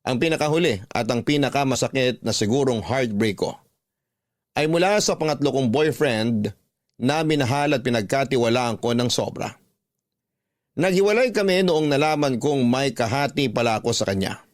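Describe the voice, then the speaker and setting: A man's voice: mid-range voice, lively intonation, normal speed, no room sound. Man narrating, dry close studio sound. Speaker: radio drama narrator and host. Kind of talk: scripted first-person story narration, reading a listener's letter.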